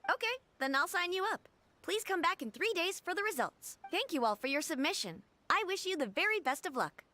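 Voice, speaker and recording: A woman's voice: very high-pitched, big pitch swings, a little fast, pushed and bright, exaggerated voice. A woman voicing a polite, upbeat, businesslike female editor in a video game, courteous and professional.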